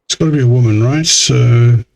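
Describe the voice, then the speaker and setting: A man's voice: medium pitch, moderate pace, creaky, a little mellow, slight background noise. A man giving casual let's play commentary in a grumbling, exasperated, mildly sweary way. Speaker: gaming video host.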